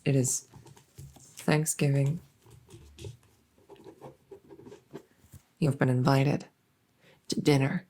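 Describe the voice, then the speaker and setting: A woman playing a silly, harmless female monster under the bed in an ASMR roleplay, soft and often whispering, rambling and repeating herself with playful earnestness. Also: sits low, creaky, breathy edge, heavily acted. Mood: scheming, suggestive. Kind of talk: whispery ASMR audio roleplay, addressing the listener.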